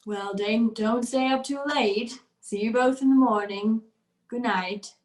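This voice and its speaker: Lady acting old radio drama mom, middle-aged widow, polite but weary, higher voice. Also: a little slow, darkish tone, close to an everyday voice, rough recording. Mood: subdued courtesy.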